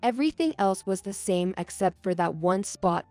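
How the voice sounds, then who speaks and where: Calm woman narrating a story. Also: medium pitch, normal speed, no echo, faint music behind.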